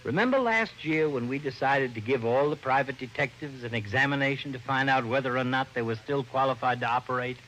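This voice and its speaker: A man's voice: medium pitch, brisk, hardly any pauses, a bit bright, lightly acted. A man acting as a fretful, folksy American police lieutenant, a grumbling sidekick in an old radio detective drama. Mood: coaxing. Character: gruff-humored male police lieutenant, fretful sidekick friend. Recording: noisy old-time radio recording.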